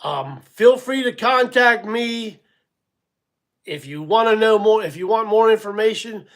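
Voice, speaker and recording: A man in his sixties hosting a casual live stream, rambling a little, chuckling and answering viewers by name. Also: sits high. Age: 60s. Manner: casual, rambling, chuckles at himself, many ums. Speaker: livestream host.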